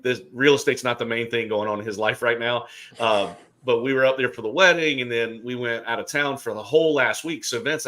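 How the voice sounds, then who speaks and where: A middle-aged man from Alabama hosts a relaxed discussion. He talks in a chatty, joking way with plenty of fillers, at an ordinary pitch. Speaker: host. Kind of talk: casual chat.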